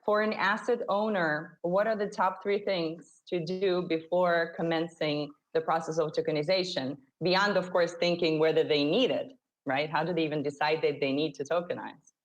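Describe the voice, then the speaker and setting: A woman's voice: low-pitched, fairly even intonation, normal speed, dull audio. A woman moderating a conference panel, asking questions directly and in an upbeat way.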